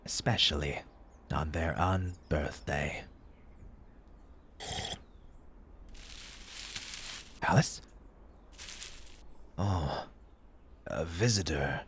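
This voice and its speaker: Eccentric storybook tea-party host, wildly swooping pitch, husky, pompous, formal, grieving underneath, audio roleplay. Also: male voice, heavily acted. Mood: sorrowful, wistful. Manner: pompous, formal old-fashioned diction, scolding. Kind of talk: theatrical character audio roleplay.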